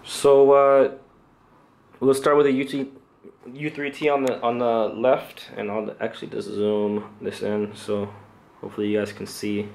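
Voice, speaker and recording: A man giving an unscripted product review, speaking in a hesitant, halting, off-the-cuff way as a channel host. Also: lowish voice, flat delivery, gravelly, darkish tone.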